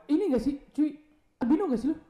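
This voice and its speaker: A man's voice: very high-pitched, expressive, fast talker, mellow. A young man, co-hosting a podcast in a teasing, mock-formal way with frequent swearing. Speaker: podcast co-host. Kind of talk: casual podcast chat. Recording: echoey room.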